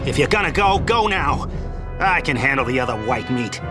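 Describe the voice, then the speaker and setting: A man's voice: mid-range voice, animated, moderate pace, over loud music, lightly acted. An actor playing a brash, scornful but loyal mob boss in an audio drama, with colloquial tough-guy talk. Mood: resolute. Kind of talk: audio drama table read acting.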